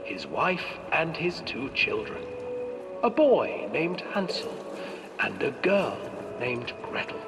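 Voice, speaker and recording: An actor playing a theatrical storyteller, narrating a dark fairy tale for a video game with deliberate drama. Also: male voice, medium pitch, very animated. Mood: theatrical, ominous. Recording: echoing hall, distant, music behind.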